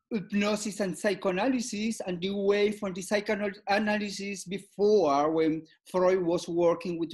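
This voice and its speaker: A man in his fifties from Peru, answering interview questions in halting English and often repeating words. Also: high voice. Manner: halting, repeats words, non-native phrasing. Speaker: guest psychoanalyst.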